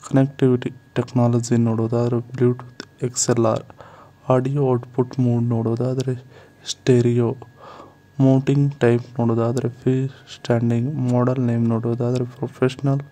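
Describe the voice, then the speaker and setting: A man with a mid-range voice and a soft, mellow tone reads a scripted voice-over. His pitch barely moves, and the delivery is formulaic and announcer-like, with repeated phrasing. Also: rough recording. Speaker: channel host narrator. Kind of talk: scripted voice-over narration, reading out a profile.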